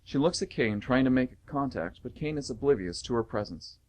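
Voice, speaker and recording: A man's voice: mid-range voice, lively intonation, brisk. A man reading scripted stage directions as the narrator of an amateur radio play.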